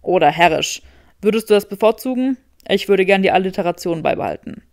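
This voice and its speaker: A woman's voice: medium pitch, lively intonation, a little fast, darkish tone, no echo, clean studio sound, natural voice. A woman voicing a smug, sarcastic young man with a teasing drawl in an audiobook story. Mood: mock pompous.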